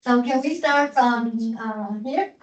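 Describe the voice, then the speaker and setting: Woman, teacher, classroom instruction, halting with fillers, distant, roomy echo, dull sound. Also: a little high. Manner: hesitant, many "uh" fillers, repeats words. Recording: echoey classroom from far off, dull.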